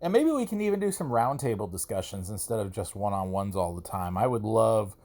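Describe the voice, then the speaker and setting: A man's voice: on the low side, very animated, a little fast, a little mellow. A man talking casually and emphatically as a podcast host, speaking on his own and repeating words for effect. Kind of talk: casual solo podcast monologue.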